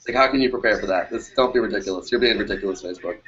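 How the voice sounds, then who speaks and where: A man's voice: fairly low pitch, rapid-fire, fairly bright tone, a little hiss or hum. Easygoing man in his twenties, muffled. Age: 20s. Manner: easygoing, rambling, theorizing. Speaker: music producer. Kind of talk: casual podcast chat. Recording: dull lo-fi stream audio.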